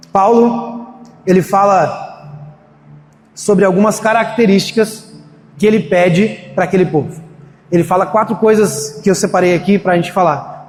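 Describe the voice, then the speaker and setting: High-voiced preacher in echoey hall. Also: male voice, a little mellow. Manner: conversational, storytelling, acts out quoted voices. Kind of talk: sermon. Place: church hall. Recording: echoey hall, faint ambience.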